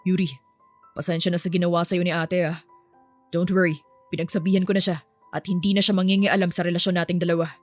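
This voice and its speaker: A woman's voice: fairly low pitch, little pitch movement, fast talker, soft background music, pitched below the performer's own voice, natural voice. A woman voicing a devoted rich young man in love, earnest and insistent, in an audio romance. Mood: apologetic, pleading.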